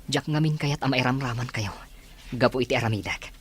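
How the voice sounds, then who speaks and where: An actor playing a determined young adult son in an Ilocano radio drama. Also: male voice, mid-range voice, brisk, slight background noise, natural voice. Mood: reassuring, earnest. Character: determined grown son, plotting against a criminal.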